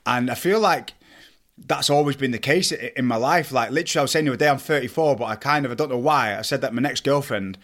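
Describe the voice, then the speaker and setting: A man's voice: mid-range voice, a bit bright. A man in his thirties from Manchester, with a Mancunian accent, chatting eagerly as a podcast guest. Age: 30s. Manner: eager, laughing, quick chatter.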